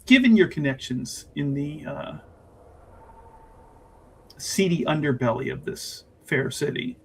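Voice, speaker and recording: A man's voice: fairly high pitch, sing-song, a little slow, gravelly, a little hiss or hum, heavily acted. A man voicing a sly, teasing female investigator with a politely probing, insinuating manner in a tabletop game. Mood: coaxing.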